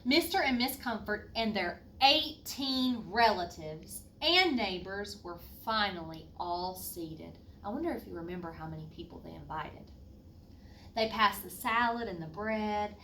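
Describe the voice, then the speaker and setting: Animated woman reading aloud, high bright voice. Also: unhurried. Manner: expressive, with asides to young listeners. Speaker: fourth grade teacher. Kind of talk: animated read-aloud of a children's picture book. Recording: roomy, distant mic, faint noise.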